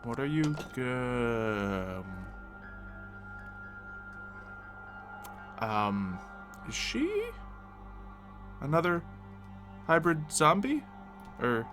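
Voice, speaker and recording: A man's voice: medium pitch, sing-song, takes it slowly, pauses often, over music. A man playing an easygoing, wry survivor loyal to his settlement, talking casually in an improvised game roleplay. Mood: shocked.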